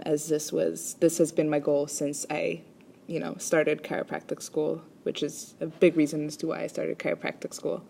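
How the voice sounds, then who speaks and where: A woman's voice: low voice, vocal fry, dark tone, slight background noise. A woman from Rwanda presents a class assignment as a chiropractic student, speaking hesitantly with many ums and restarts.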